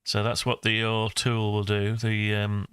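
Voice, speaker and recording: A man's voice: fairly low pitch, completely flat delivery, unhurried, fairly bright tone, smooth, no room sound, studio quality. A man in his sixties giving a casual screen-recorded tutorial, rambling and thinking aloud as he goes. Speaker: maker and video host.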